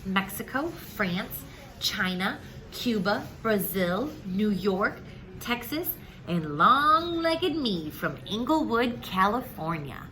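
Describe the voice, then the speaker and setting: A woman reading a children's story aloud in an expressive way, acting out the dialogue. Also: mid-range voice, takes it slowly, low-quality recording. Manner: animated, acts out the dialogue. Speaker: teacher reading a picture book aloud.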